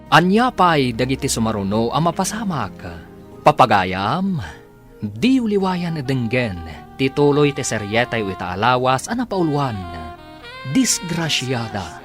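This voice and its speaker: A man with a Filipino accent narrating a radio drama in a scripted, theatrical announcer style with drawn-out emphasis. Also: mid-range voice, sing-song, fairly bright tone, soft background music.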